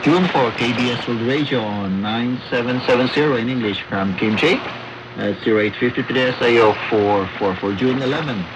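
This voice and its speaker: A man's voice: medium pitch, moderate pace. Filipino-accented man on noisy shortwave radio. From Philippines. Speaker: radio DX report correspondent. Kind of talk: scripted report, reading out logs.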